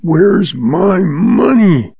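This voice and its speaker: A man's voice: high-pitched, very animated, very slow, creaky, warm tone, husky, dry recording, dull audio, pitched above the performer's own voice, heavily acted. A man voicing a greedy, fat male banker who snarls and sneers. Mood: snarling.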